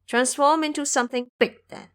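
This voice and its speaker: A woman's voice: high voice, fairly even intonation, normal speed, fairly bright tone, pitched above the performer's own voice. A woman voicing a timid, courteous king with formal, stately speech in an audio drama. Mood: curious, courteous.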